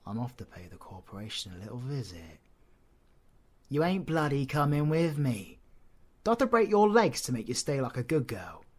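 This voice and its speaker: A British man playing a cocky, menacing, flirtatious supervillain in an audio roleplay, husky and sardonic, often dropping to breathy whispers. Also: medium pitch, sing-song, moderate pace. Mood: dismissive, threatening. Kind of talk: scripted audio roleplay, ASMR drama.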